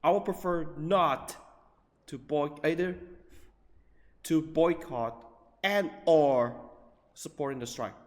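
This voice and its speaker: A man giving casual, rambling live commentary on a video game, blunt and sometimes profane, with halting delivery. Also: on the high side. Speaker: game streamer. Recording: reverberant room, game music behind. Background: game music.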